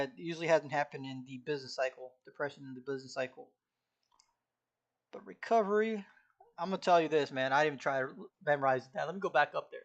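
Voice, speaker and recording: Casual man clearing his throat. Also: on the high side, lo-fi sound. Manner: frequent throat clearing, laid-back, reciting notes from memory. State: throat irritated by caffeine. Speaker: streamer studying a textbook. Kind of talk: casual live-stream self-talk while studying.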